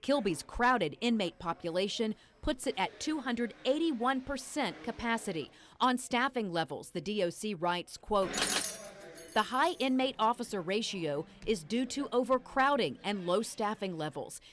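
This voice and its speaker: A woman's voice: medium pitch, animated, a little slow. A woman reporting the news, reading a scripted broadcast narration.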